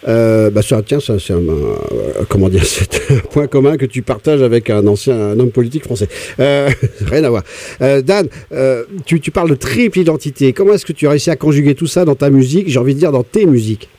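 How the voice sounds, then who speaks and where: A man's voice: mid-range voice, expressive, fairly quick, dark tone. A man hosting a conversational radio interview, often repeating words as he builds his questions. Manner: hesitant, repeats words while forming questions. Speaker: radio host interviewing a musician.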